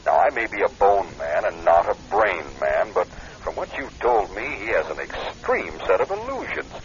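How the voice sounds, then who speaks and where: Radio drama supporting man: protective ex-husband, wry straight talker, animated low voice, lo-fi sound. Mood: blunt, concerned. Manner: blunt, brisk, wry advice-giving. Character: Len, blunt, protective ex-husband, a bone doctor. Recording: dull lo-fi radio recording.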